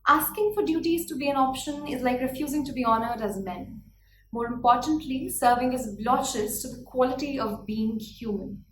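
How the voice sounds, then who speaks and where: An earnest young woman with an Indian accent delivers a prepared speech, declaiming her points and posing rhetorical questions. Her voice sits a little high. The room is echoey, with faint noise behind her. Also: moderate pace. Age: 20s. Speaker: speaker.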